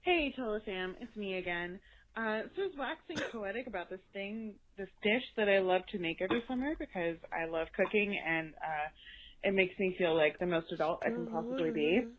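A woman's voice: mid-range voice, lively intonation, fairly quick. A woman leaving a chatty, rambling voicemail for a podcast. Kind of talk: casual voicemail message. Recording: tinny phone voicemail.

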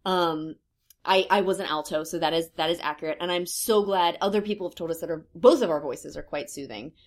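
Low-voiced woman with a touch of vocal fry. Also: brisk. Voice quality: lower-pitched voice with some vocal fry. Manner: thoughtful, hesitant with many ums. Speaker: podcast co-host. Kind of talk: casual conversational chat.

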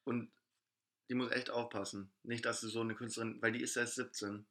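A young man chats casually as a podcast co-host. His voice is bright and forward. His talk is slangy, keen and full of jokes. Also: medium pitch, flat delivery, brisk. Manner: slangy, enthusiastic, joking. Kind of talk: casual chat.

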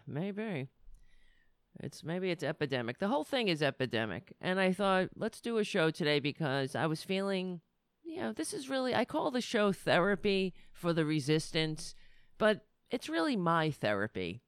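A woman's voice: on the low side, sing-song, normal speed, clear, resonant tone, dry recording, studio quality. A woman hosting a livestreamed political talk show, talking in a chatty, rambling and sardonic way.

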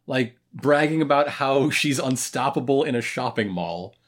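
Animated podcast co-host in their thirties. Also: mid-range voice, normal speed. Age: 30s. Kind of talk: casual review chat about a cartoon.

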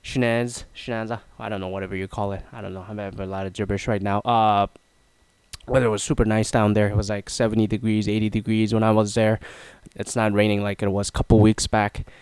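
A man's voice: on the low side, little pitch movement, quick pace, a little mellow, smooth, no room sound. A man hosting a casual solo talk show, rambling and self-mocking, with a nasal, stuffy voice and a throat that is half gone. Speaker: podcast host.

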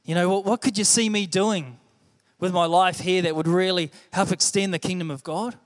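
A man's voice: high voice, fairly even intonation, moderate pace. A young man with a New Zealand accent preaching a sermon, earnest and conversational, often repeating his phrases. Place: church hall. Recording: echoey hall.

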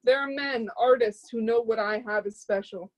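Actress as haughty, high-voiced aspiring muse. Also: female voice, moderate pace, highs cut off, natural voice. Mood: defiant pride. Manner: emphatic, lofty, scornful. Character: haughty, proud, zealous woman vying to be muse. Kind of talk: play reading.

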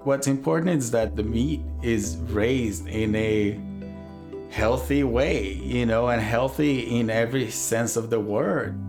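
A man interviewee speaking deliberately, listing his points one by one. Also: mid-range voice.

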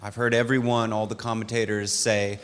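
A man asking a plain town hall question from the audience in a direct, measured way. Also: on the low side, little pitch movement, clear, resonant tone.

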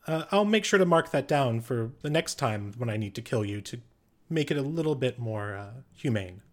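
A calm, sly alien agent, as a man puts him on, polite on the surface with quiet menace underneath. His voice sits in an ordinary middle register, unhurried and smooth. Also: mid-range voice, lightly acted.

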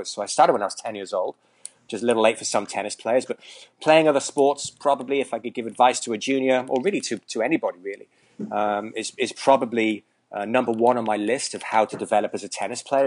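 A Welsh man, around his forties, chatting casually as an interview guest, joking and rambling with lots of fillers and restarts. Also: medium pitch. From Wales. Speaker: tennis coach, guest.

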